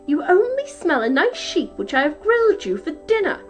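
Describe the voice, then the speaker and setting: A woman voicing a giant's dim, bossy wife who speaks briskly and scolds. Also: extremely high pitch, sing-song, faint music behind, voice pushed up.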